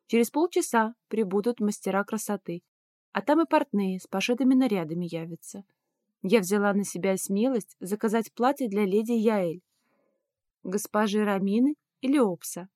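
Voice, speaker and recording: A woman's voice: mid-range voice, normal speed, darkish tone, no room sound, lightly acted. A woman reads as an efficient head maid of a fantasy palace. She speaks formally and respectfully, in a dry, close audiobook recording. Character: deferential, efficient female palace head servant.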